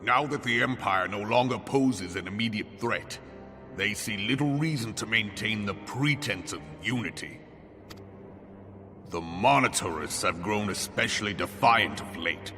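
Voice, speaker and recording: An actor playing a stern, commanding general with a gravelly, authoritative voice. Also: male voice, on the low side, measured pace, fairly bright tone, big-room sound, soft background music. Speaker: game character.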